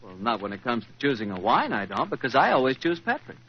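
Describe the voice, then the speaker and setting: A man's voice: medium pitch, wildly swooping pitch, fast talker, husky. An American man working as a 1940s radio announcer, with a polished, salesmanlike delivery. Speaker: radio announcer and sponsor pitchman. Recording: dull vintage 1940s radio recording.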